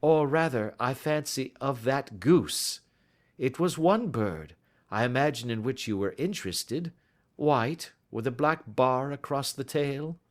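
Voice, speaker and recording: A male reader plays a commanding gentleman detective in a mystery audiobook. His pitch is raised and very lively, with formal, precise phrasing and a coolly sardonic edge. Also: measured pace, natural voice. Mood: dry, teasing. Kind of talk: audiobook character voice.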